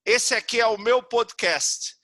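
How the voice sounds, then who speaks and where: A man's voice: extremely high pitch, measured pace, bright, forceful tone, no room sound, studio quality. A Brazilian man hosting a podcast interview, effusive and folksy as he wraps up the talk. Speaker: podcast host.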